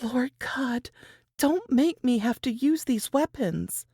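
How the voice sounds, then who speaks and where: Young mountain woman singer for a storybook played by a woman: frightened, defiant, urgent, animated pitch, dry sound. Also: slow, breathy edge, dry recording, full character voice. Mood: hushed pleading.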